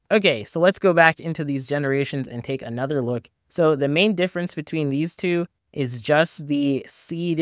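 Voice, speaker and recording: A man's voice: mid-range voice, clean tone, dry recording, clean studio sound. A man in his thirties hosting a tech review, explaining things in a candid, casual, conversational way. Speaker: tech review video host. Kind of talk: conversational software review and tutorial commentary. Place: small home studio room, indoors.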